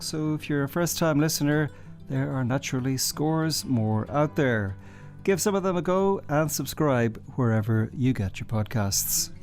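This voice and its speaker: A man's voice: a little high, darkish tone. A man hosting a podcast, asking polite, precisely phrased questions and reading the outro. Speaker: podcast host, interviewer. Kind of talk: interview questions and scripted outro.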